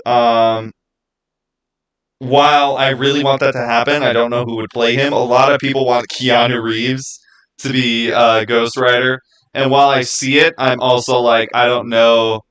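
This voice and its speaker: A man hosting a livestream, chatting casually and answering viewers in an informal, opinionated, halting way that often repeats itself. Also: medium pitch, fairly bright tone, poor audio. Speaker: livestream host.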